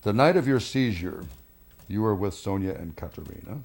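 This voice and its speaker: Film police interrogator: low voice, measured, pressing, insistent, naturalistic delivery. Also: male voice, lively intonation, a little mellow. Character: probing, insistent male police detective interrogating suspects. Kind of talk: naturalistic film drama acting.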